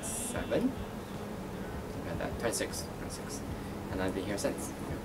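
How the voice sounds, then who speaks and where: A man's voice: fairly low pitch, huge pitch swings, moderate pace. A man from Taiwan, an artist and art teacher, answering interview questions thoughtfully, with many fillers and restarts. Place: gallery. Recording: distant mic with music underneath.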